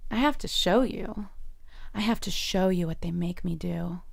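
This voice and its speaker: An actress playing a troubled, guarded young woman in an audio drama, hushed and hesitant. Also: fairly low pitch, moderate pace, creaky. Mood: anguished, ashamed.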